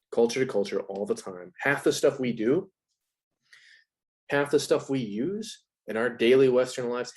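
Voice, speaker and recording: A man's voice: mid-range voice, a little mellow. A man talking as an interview guest, explaining ideas in a casual, conversational way.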